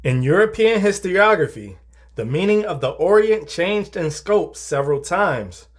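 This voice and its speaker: Male narrator, fairly high voice, formal and measured, for scripted reference-style reading. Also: expressive. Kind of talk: scripted narration, reading dictionary and encyclopedia entries.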